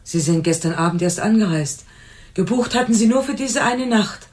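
A woman's voice: mid-range voice, animated, a little mellow, lightly acted. An actress playing a shaken woman on night reception at a motel, hesitant and flustered, in a radio crime play. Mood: flustered, earnest. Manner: hesitant, flustered, halting recollection. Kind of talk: German radio crime drama acting.

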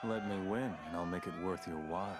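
An actor playing an arrogant, slick and scheming champion fighter in a video game. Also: male voice, low-pitched, a little fast, very noisy, lightly acted. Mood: smug, coaxing. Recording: loud music behind.

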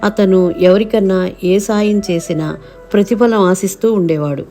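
A woman's voice: mid-range voice, fairly even intonation, unhurried, music in the background. A woman narrating a scripted moral story for children.

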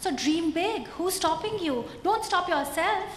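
High-voiced, rousing woman author on stage. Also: lively intonation, measured pace. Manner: emphatic, motivational. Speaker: panelist, author. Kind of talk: panel discussion. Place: conference stage before an audience. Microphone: handheld mic. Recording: echoey hall with faint noise.

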